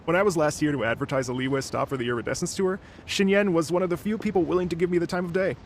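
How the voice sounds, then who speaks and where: An actor playing a polite, earnest and cautious musician in a video game, speaking in a formal, hesitant way. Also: male voice, fairly high pitch, quick pace, a little hiss or hum, close to an everyday voice. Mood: wistful.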